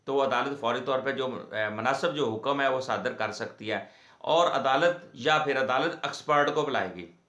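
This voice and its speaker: A man with a Pakistani accent giving an informal legal lecture to viewers in a halting, repetitive way. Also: mid-range voice, pushed and bright. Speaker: legal explainer, host of a law channel. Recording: echoey, reverberant room sound.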